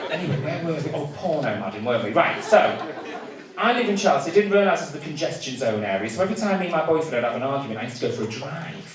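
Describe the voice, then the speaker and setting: A camp, cheeky man works the crowd in a stand-up set. His fairly high voice bounces up and down. It is caught from far off in an echoey comedy club with loud audience noise all around. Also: lively intonation, quick pace. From Manchester, England. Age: 30s.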